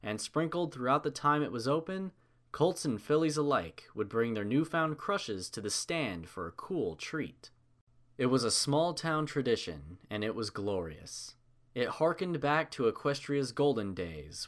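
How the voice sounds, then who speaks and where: A man's voice: medium pitch, fairly bright tone. A man reading a story aloud as narrator, in a scripted storybook style.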